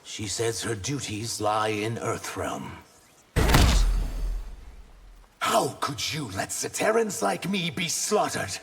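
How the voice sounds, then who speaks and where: An actor playing an earnest, guarded reptilian shapeshifter warrior in human form, trading emphatic banter in a video game. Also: male voice, medium pitch, expressive, unhurried. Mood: earnest, challenging. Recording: long reverb, faint background noise.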